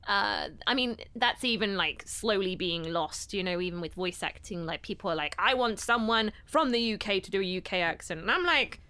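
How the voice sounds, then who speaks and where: A woman's voice: medium pitch, animated, moderate pace, few pauses, pushed and bright, clean tone, dry recording. A woman, a guest voice actress, giving earnest advice in casual podcast chat.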